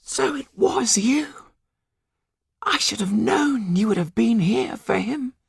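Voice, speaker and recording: Man voicing a high, hushed old dwarf. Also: expressive, dry recording, clean studio sound, heavily acted. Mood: tender recognition. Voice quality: hushed, breathy. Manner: slow, longing delivery. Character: grieving sentimental old male dwarf magician, tender.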